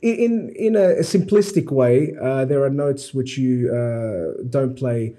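A man raised in Australia, a musician guest explaining his instrument in a relaxed, explanatory interview manner. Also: medium pitch, slow pace. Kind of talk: interview conversation.

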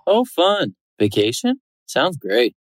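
A woman's voice: low-pitched, very animated, slow, no echo, studio quality, exaggerated voice. A woman voicing the text messages of a friendly, flirty man she is dating, read in a breezy, casual tone. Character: friendly, easygoing man texting a date.

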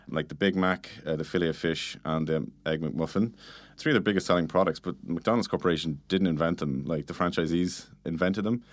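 A man's voice: low voice, no echo. A man being interviewed, explaining things casually and informally with lots of "like" and "you know". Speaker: interview guest, product manager. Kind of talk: casual conversational interview answers.

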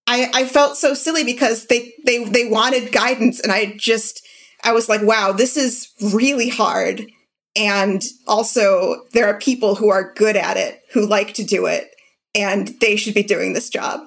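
Female interviewee, fairly high voice, casual anecdotal delivery, candid and self-deprecating. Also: moderate pace. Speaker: podcast writer being interviewed.

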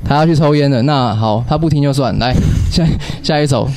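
A man's voice: medium pitch, brisk. A man in his thirties hosting a radio show in casual, bantering, rambling improvised chat. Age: 30s. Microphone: radio show microphone. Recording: clear background noise with clicks.

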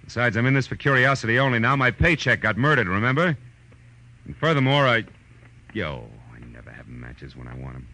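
A man's voice: medium pitch, very animated, fairly quick, sharp tone, low-quality recording. A man acting a tough, wisecracking private detective with an American accent, sardonic and slangy in 1940s radio noir style. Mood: brisk, casual. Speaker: lead actor and narrator. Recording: vintage radio recording.

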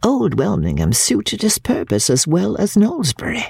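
A cold, shrewd older Victorian woman, acted out by a female reader in a classic audiobook. Her low voice is heavily husky and gravelly. She tells secrets deliberately, with very dramatic swoops in pitch and hushed drops. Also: huge pitch swings, no echo, clean studio sound, full character voice. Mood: coolly confiding. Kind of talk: dramatic reading of classic literature.

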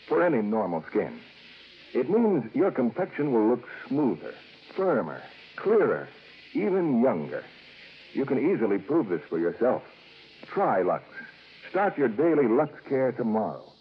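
A man's voice: medium pitch, slight background noise. A man announcing on 1950s radio in a polished, emphatic scripted sales style. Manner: emphatic, deliberate repetition, polished. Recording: dull old radio broadcast.

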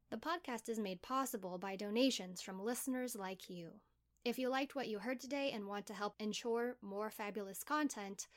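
A woman with a medium-pitched voice speaks as a podcast co-host. Her delivery is that of conversational hosting, addressed to guests and listeners.

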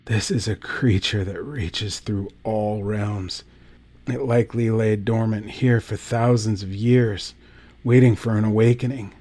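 A man voicing a bitter, scornful middle-aged priest who speaks slowly in a hushed, menacing tone. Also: on the low side, fairly even intonation. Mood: hushed grim. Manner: hushed, slow and menacing, rising to a snarl.

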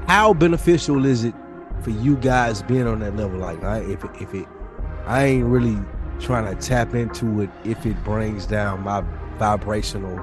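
A man with a lowish voice hosts a casual podcast conversation. He talks informally, and music plays clearly behind his voice. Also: unhurried. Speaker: podcast host. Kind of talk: casual chat.

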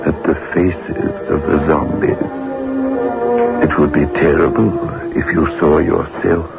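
An actor playing a sinister, drily amused horror show host in a 1960s radio drama, speaking with ominous mock courtesy. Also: male voice, extremely low pitch, flat delivery, unhurried, gravelly, full character voice. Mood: mock-welcoming menace. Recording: muffled old radio recording with music.

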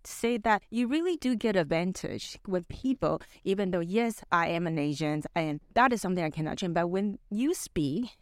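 A woman's voice: lowish voice, animated, moderate pace, few pauses, no echo, clean studio sound. A middle-aged woman who grew up in Canada, Mandarin her first language, telling stories as a podcast co-host, rambling with many fillers. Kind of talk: casual podcast chat.

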